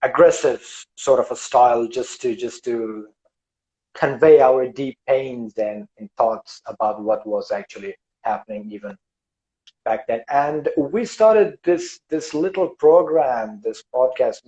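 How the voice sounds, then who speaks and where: Dark-voiced man hosting a chat. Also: medium pitch, low-quality recording. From Afghanistan. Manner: halting, many fillers and restarts. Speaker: podcast host. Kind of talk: casual interview chat. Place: Western Australia.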